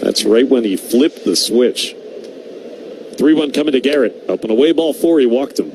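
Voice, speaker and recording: A man's voice: medium pitch, expressive, moderate pace, darkish tone. A man calling live baseball play-by-play as a radio announcer. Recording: clear background noise, outdoor ballpark ambience.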